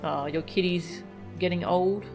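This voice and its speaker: Casting: woman game streamer, lowish pitch, casual chatty commentary, music playing behind. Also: steady tone, measured pace.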